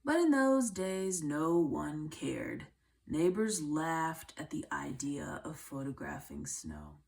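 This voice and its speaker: Slow-paced woman reading a storybook. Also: low-pitched, big pitch swings, gravelly, a little mellow. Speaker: reader of a children's book. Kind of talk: read-aloud storybook narration.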